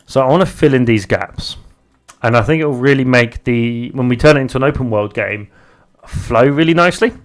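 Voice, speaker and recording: A young British man streaming live, chatting casually and haltingly as he reads out viewer messages. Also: mid-range voice. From Britain. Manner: rambling, halting, restarts often while reading viewer chat aloud. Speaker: live streamer and game developer.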